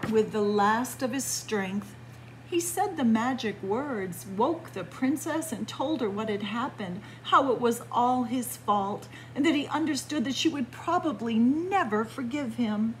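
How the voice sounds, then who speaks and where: Expressive, lively woman reading a picture book. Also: a little high, slight background noise, poor audio. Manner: expressive storytelling with deliberate pauses. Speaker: library story time reader. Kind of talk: read-aloud picture book narration. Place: indoors in a library, among bookshelves.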